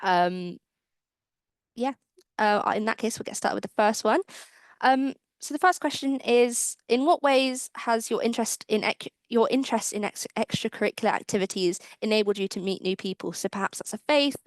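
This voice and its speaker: A woman's voice: a little high, normal speed, no room sound. A woman moderating a webinar panel, politely asking questions and thanking speakers. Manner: polite, encouraging.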